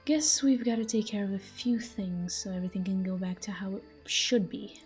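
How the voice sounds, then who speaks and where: Casting: actress as jealous, flirtatious female fantasy villain for audio roleplay; mellow, breathy, smug, soft music underneath. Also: medium pitch, moderate pace, lightly acted. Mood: possessive, coolly menacing. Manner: smug, taunting, breathy murmurs. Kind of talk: intimate scripted audio roleplay addressed to the listener.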